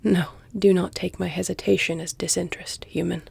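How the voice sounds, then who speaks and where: A woman voicing an elegant, flirtatious vampire in an intimate audio roleplay, with a hushed, breathy, deliberate and formal delivery. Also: fairly low pitch, fairly even intonation, dry recording, exaggerated voice. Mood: seductive, hesitant. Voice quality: breathy, hushed, often near whisper. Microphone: close, dry microphone.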